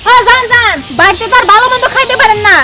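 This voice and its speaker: A woman acts out a scornful, snobbish mother-in-law in a comedy drama. She scolds haughtily in an extremely high, fast voice with a Bangladeshi accent. Loud music plays underneath. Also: a crowd in the background. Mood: angry scorn. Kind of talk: Bengali comedy drama acting.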